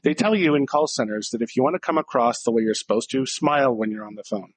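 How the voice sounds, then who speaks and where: A man's voice: medium pitch, fast, a little hiss or hum. A man co-hosting a casual podcast, talking in a direct, blunt way and acting out short exchanges. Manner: direct and blunt, acting out little dialogues in different voices. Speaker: podcast co-host.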